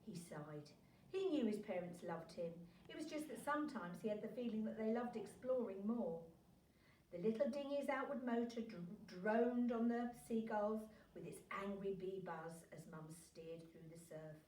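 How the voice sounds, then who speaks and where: Female storybook reader for children, expressive and lively in pitch, recorded a bit far off in a roomy space. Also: normal speed. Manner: animated, acts out dialogue. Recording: roomy echo, distant from microphone.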